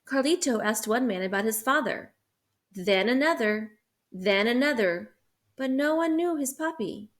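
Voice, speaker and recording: A woman, a school teacher, reading a children's picture book aloud with expressive, animated storytelling. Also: on the high side, unhurried.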